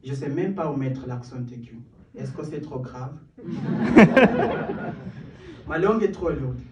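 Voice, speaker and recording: Young poet in a roomy live hall. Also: male voice, medium pitch, measured pace, background noise. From Kenya. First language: English. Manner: rhythmic, building recitation with self-deprecating asides. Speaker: performance poet. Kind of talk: spoken-word poetry at an open mic. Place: small stage in a cellar venue. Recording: roomy, distant live room sound.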